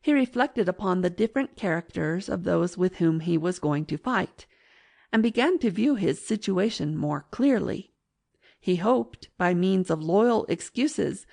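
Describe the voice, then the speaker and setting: A woman reading classic fiction as an audiobook narrator in a measured, literary manner. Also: lowish voice, gravelly, a little mellow.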